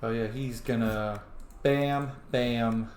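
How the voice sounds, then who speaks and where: A man's voice: mid-range voice, fairly even intonation, takes it slowly, a little mellow, soft background music. A man doing casual, chatty, informal gaming commentary as he plays. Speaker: gaming video host. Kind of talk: casual roleplay playthrough commentary. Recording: roomy sound with faint noise.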